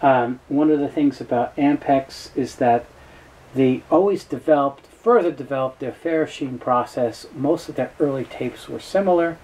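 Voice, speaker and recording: A man with a soft-edged, mellow voice at a middle pitch talks through an informal product overview. He is knowledgeable and matter-of-fact, feeling his way haltingly through unscripted explanations. Faint noise sits in the recording. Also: rough recording. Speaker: tape dealer presenting a product overview.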